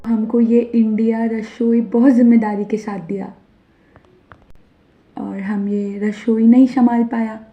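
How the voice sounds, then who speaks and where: A woman voicing a meek young Nepali daughter-in-law in an animated story, speaking slow, hesitant broken Hindi with a Nepali accent. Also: on the high side. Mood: sad, worried. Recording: narrow phone-like sound over music.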